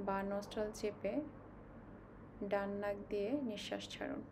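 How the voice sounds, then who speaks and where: A woman guides a meditation slowly and calmly, giving instructions with long pauses. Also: mid-range voice. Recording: clearly noisy, ambient sound behind voice.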